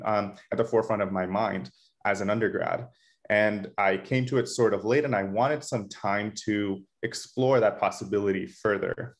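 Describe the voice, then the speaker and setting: A young American man with a fairly low voice gives a conversational online presentation. He explains things earnestly, in the manner of a tutor talking to students. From Florida, United States. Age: young adult. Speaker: medical tutor and resident presenting a webinar.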